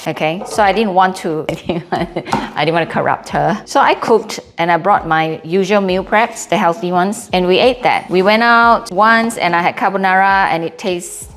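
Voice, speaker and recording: A Singaporean-accented woman in her late forties talks casually to her vlog audience. Her voice sits a little low for a woman, and she is candid and self-mocking. There is noticeable noise behind her. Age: late 40s. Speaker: vlogger. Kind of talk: casual, chatty personal vlog.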